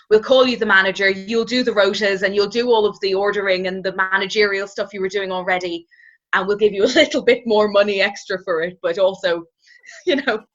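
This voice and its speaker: A woman in her twenties with an Irish accent, a guest telling her story in a relaxed, reflective way and often hedging with "kind of". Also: mid-range voice. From Ireland. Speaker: interview guest. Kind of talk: casual conversational storytelling. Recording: slightly dull, muffled audio.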